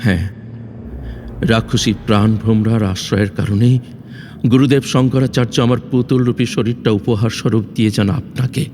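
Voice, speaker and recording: A man playing an earnest, resolute young fairy-tale prince in an audio drama, speaking formally with deliberate, dramatic phrasing. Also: lowish voice, fairly even intonation, darkish tone, natural voice. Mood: respectful, serious. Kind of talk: Bengali audio drama acting. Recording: long reverb, faint background noise.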